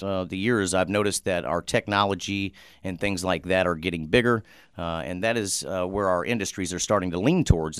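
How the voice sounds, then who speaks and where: Deep smooth-voiced man, halting. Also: no echo, studio quality. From Muncie, Indiana. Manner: earnest, halting, many fillers and restarts. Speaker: mayoral candidate. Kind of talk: answering interview questions.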